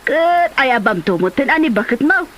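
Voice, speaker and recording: A woman with an Ilocano Filipino accent playing a brisk village customer in a comedy drama. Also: high voice, big pitch swings, fairly bright tone, a little hiss or hum. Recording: music behind the dialogue.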